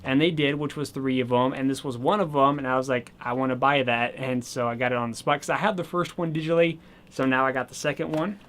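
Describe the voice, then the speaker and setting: A man casually showing off his new video games and accessories, chatty and rambling, slightly hoarse as he says he is losing his voice. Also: mid-range voice, steady tone, quick pace, fairly bright tone, slight background noise. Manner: chatty and rambling, with many fillers. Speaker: gaming channel host. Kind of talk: casual video game haul vlog.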